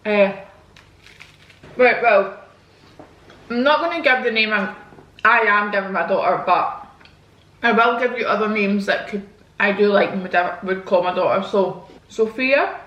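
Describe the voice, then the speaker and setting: A woman's voice: mid-range voice, unhurried, long pauses, a bit bright, reverberant, dull audio. A woman, chatting frankly and casually to her viewers while answering their questions. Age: 20s. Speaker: vlogger. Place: home, indoors. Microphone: camera mic.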